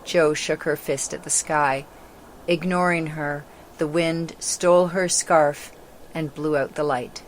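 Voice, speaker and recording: A female voice, set low, reads a children's story. The delivery is scripted, dramatic narration. Some background noise sits behind the voice. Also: fairly even intonation, takes it slowly, poor audio.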